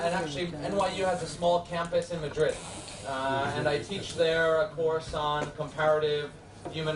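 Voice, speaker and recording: A law professor with a New York accent gives a friendly, halting classroom lecture full of filler sounds. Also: male voice, a little high, slight background noise. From United States. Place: lecture hall. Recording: echoey hall from far off.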